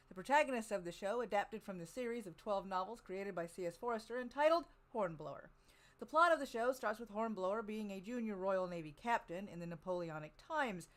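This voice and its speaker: A woman hosting a panel show, reading introductions and chatting casually between segments. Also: medium pitch. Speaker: podcast host.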